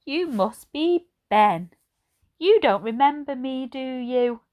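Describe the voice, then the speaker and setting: Woman voicing a kindly high-pitched old lady. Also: expressive, slow, a little mellow. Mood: gentle, reminiscing. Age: older. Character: kindly, frail old lady, grateful and fond. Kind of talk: children's storybook character voice.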